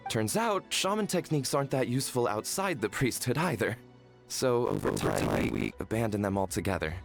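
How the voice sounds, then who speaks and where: A man's voice: mid-range voice, big pitch swings, a little fast, gravelly, faint music behind. An actor playing a modest, hesitant and earnest tribesman in scripted video game dialogue. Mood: modest, rueful.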